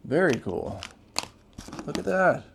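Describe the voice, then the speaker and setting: A man's voice: fairly high pitch, big pitch swings, slow, vocal fry, noisy background. A man giving casual, off-the-cuff unboxing commentary as a tech reviewer, laid-back and informal with plenty of slang.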